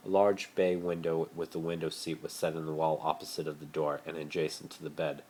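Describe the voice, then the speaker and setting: Very deep-voiced man reading a story. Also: level tone, a little fast, a little mellow. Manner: stumbles over words and corrects himself. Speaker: amateur reader of fan fiction. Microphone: phone. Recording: noisy amateur phone recording.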